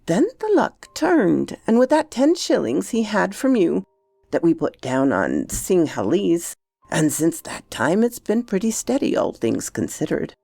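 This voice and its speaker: A woman's voice: lowish voice, very animated, unhurried, vocal fry, dark tone, dry recording, exaggerated voice. Woman voicing a deferential, confiding manservant. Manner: respectful, confiding, reverent. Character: deferential, devout male servant, loyal and confiding.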